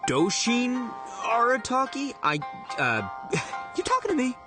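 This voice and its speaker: A man's voice: very high voice, wildly swooping pitch, unhurried, full character voice. A man playing a brash, goofy, hot-headed but big-hearted gang boss in an anime video game, cocky and hammy. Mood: confused, eager. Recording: loud music behind the voice.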